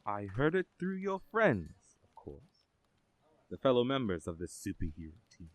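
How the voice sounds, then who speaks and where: Composed agency boss for a superhero audio drama, male actor: low, expressive, formal and assured. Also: normal speed. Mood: solemn, assured. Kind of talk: scripted audio drama acting.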